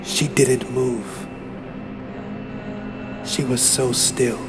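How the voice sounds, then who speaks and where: A man giving a solemn, deliberate, suspenseful dramatic reading of a first-person horror story. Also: mid-range voice, fairly even intonation. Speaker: narrator. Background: background music.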